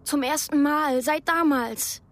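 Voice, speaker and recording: An actress playing a maimed young Afghan woman in a radio drama, speaking in short, simple, halting sentences with a husky, breathy voice. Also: high voice. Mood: wounded, matter-of-fact. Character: maimed young Afghan woman, hushed, plain-spoken survivor.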